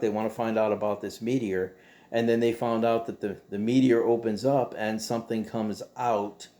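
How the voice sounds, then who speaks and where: Male host, middle-pitched and mellow, for a casual opinionated monologue; conversational, rambling delivery with rhetorical emphasis. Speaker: host of a show about old radio.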